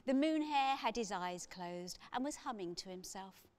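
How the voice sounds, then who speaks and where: A middle-aged woman narrating a children's story aloud with expressive delivery, as an author reading. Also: medium pitch, normal speed.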